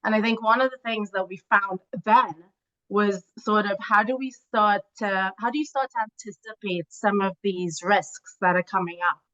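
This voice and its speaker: Female interview guest from Trinidad, explanatory expert talk, mid-pitched voice, dull recording. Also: normal speed, fairly bright tone. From Trinidad, Caribbean. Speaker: guest, corporate treasury and risk expert. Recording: dull, band-limited call audio.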